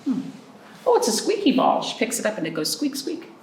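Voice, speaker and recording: A woman hosting a storytime for young children, reading expressively with drawn-out phrases and pausing to put questions to her listeners. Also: on the high side, wildly swooping pitch. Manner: prompting listeners with questions and pauses. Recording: roomy, distant mic, faint noise.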